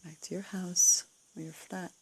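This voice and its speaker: A woman leading a guided meditation, giving calm, unscripted instructions in a soothing, breathy way with gentle pauses. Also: lowish voice, rough recording. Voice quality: breathy, husky, creaky.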